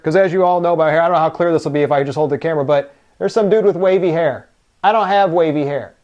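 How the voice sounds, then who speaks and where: Higher-pitched man doing a casual product demo. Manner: informal, explains step by step. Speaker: product reviewer. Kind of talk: casual unboxing and hands-on demo talk.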